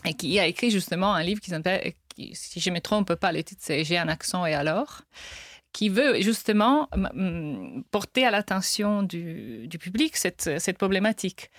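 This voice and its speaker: A woman's voice: on the low side, dry recording, studio quality. A woman linguist answering interview questions, hesitant, with frequent restarts and light laughs. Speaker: linguist guest.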